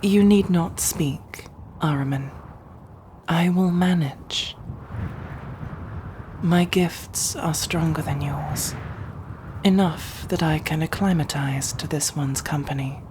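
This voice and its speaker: A woman playing a regal, resentful, dutiful sorceress matriarch in a scripted ASMR roleplay, speaking in a formal, measured, imperious way. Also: deep voice, background noise. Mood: weary, commanding.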